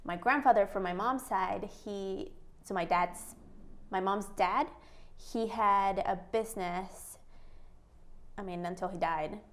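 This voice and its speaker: A woman from Mexico is a guest in a casual interview, with a mid-range voice. She tells her story with animated energy and laughs often. She acts out what other people said to her. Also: medium pitch, slow pace. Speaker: guest, entrepreneur.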